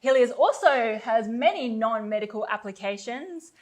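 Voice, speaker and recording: A woman's voice: fairly high pitch, animated, moderate pace. Gushing, enthusiastic woman presenter. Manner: gushing, enthusiastic, laughs often. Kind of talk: sales presentation.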